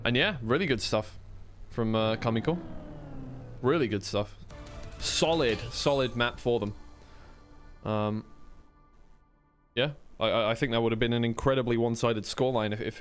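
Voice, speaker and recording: A man's voice: fairly low pitch, steady tone, moderate pace, long pauses, faint music behind. A man giving live esports racing commentary in a conversational way, often second-guessing himself. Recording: clear background noise of vehicle sounds.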